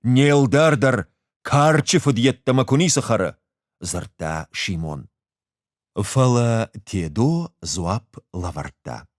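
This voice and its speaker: A man reading a short story aloud as an expressive literary narrator. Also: mid-range voice, takes it slowly, no echo, studio quality.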